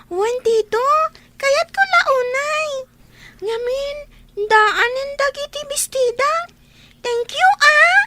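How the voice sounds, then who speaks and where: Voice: extremely high pitch, slow, long pauses. A performer playing a shy, polite young girl with a hesitant, childlike delivery in a radio drama. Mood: touched, grateful. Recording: noisy track with clicks.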